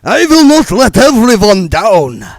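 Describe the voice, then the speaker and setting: A man voicing an anxious male vampire fighting his thirst, who talks slowly and tremblingly and bursts into wailing song. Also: mid-range voice, very animated, no room sound, clean studio sound, pitched above the performer's own voice. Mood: fervent singing.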